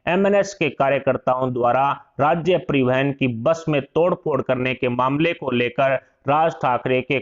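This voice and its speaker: A man's voice: mid-range voice, dull audio. A man reads scripted political news as a voice-over narrator.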